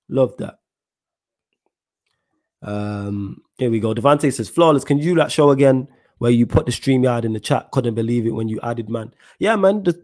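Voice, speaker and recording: A man hosts a live football podcast stream, working through viewer comments one by one. He talks in animated, slang-heavy bursts and keeps checking in with his audience. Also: mid-range voice. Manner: animated, heavy slang, keeps saying "you know what I'm saying". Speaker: podcast host.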